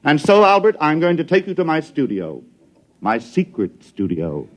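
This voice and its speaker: A boozy artist in an old radio play. His voice roams widely in pitch, grumbly yet chummy, repeating himself in a confidential way. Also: huge pitch swings, moderate pace. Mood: boozy, confiding. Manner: tipsy, repetitive, confidential. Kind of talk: 1950s radio drama acting.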